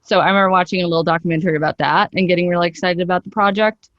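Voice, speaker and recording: A woman with a lowish voice talks as an interview guest, chatty and keen to explain. The sound is dry and close, and her delivery is conversational and enthusiastic. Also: steady tone, very fast, no echo, lo-fi sound.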